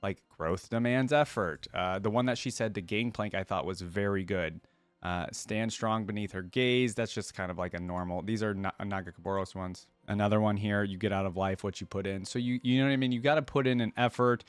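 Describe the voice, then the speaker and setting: Low-voiced man, dry close-mic reaction commentary. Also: a little fast, smooth, no echo. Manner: informal, conversational, addresses viewers. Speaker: host of a reaction channel.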